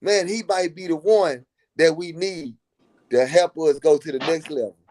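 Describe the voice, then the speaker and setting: An earnest man from Dallas with a drawl, speaking fairly high for a man. He preaches his point in a casual live chat and hammers it home by repeating lines. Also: normal speed, darkish tone. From Dallas, Texas.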